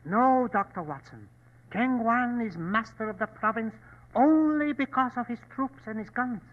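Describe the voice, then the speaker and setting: A man's voice: very high voice, normal speed. An actor playing an earnest, humble elderly Chinese man, formal and pleading, in old-time radio drama style. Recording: dull vintage radio recording.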